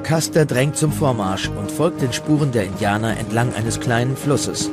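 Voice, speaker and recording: A man's voice: medium pitch, moderate pace. A man reading scripted documentary narration as the narrator. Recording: loud music bed under the voice. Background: loud music.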